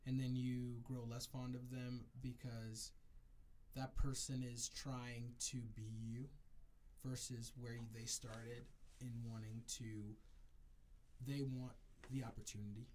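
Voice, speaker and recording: A man's voice: mid-range voice, flat delivery, takes it slowly, a little mellow, slight background noise. A man from Jamaica, a DJ, talking in a casual interview and repeating himself to stress his points. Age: adult, moved to America as a child. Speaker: DJ, interview guest. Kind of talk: reflective casual interview talk.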